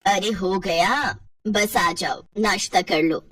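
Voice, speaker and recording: A woman's voice: mid-range voice, takes it slowly, far from the mic, noisy background, voice pushed down, natural voice. A woman voicing a middle-aged village mother-in-law who is kindly, commanding and affectionately scolding. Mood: warm, brisk.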